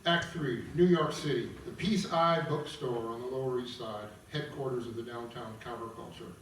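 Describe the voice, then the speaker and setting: A man informally introducing and narrating a live table read, explaining as he goes with some halting. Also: mid-range voice, fairly bright tone, a little hiss or hum. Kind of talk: live introduction and reading of stage directions. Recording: echoey room, far mic, guitar behind. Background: guitar music.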